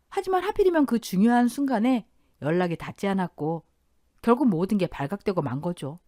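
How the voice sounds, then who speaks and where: A woman's voice: medium pitch, a little mellow, no echo, studio quality. A woman in her thirties narrating a dramatic first-person family story in an intense, emotional telling.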